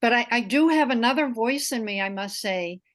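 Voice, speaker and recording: A woman's voice: a little high, animated, normal speed. Earnest woman host reflecting. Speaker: interview host. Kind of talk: conversational interview.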